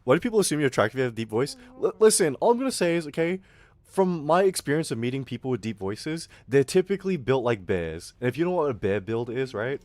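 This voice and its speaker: A man's voice: mid-range voice, sing-song, fast talker, a little mellow, no room sound. A young man with an Australian accent that slips toward American, ranting casually on a stream, swearing and mocking people in put-on voices. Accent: Australian with some American.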